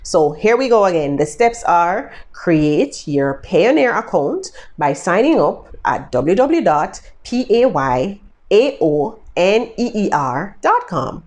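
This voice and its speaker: Jamaican woman, vlogger, chatty and upbeat, very expressive pitch, talks straight to camera. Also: slow pace. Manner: chatty, upbeat, addresses viewers directly with endearments. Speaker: vlogger giving freelancing tips. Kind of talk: casual tutorial to viewers.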